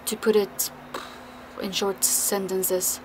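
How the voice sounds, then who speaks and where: A woman in her thirties with a Finland-Swedish accent vlogs outdoors on an apartment balcony. She talks straight into a close camera, blunt and rambling, and swears now and then. Steady street noise and passing traffic hum clearly behind her voice. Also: mid-range voice, slow. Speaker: vlogger. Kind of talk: casual talking-head vlog. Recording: noisy outdoor street ambience.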